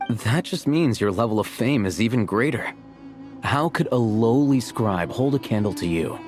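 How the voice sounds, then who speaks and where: A man voicing an unflappable scribe in a video game, deadpan and coolly sardonic. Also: mid-range voice, moderate pace, natural voice. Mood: dry, needling. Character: dry, unflappable male scribe, coolly needling. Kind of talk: video game character dialogue. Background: music.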